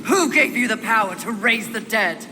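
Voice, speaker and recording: Proud, fierce warrior horsewoman for a game woman acting, imperious and indignant, swinging pitch, deliberate pace, big reverberant hall. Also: lively intonation, noisy background, natural voice. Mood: suspicious, demanding. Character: Fury, fierce, proud, hot-tempered female Horseman. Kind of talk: video game cutscene acting. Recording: long hall reverb, music underneath.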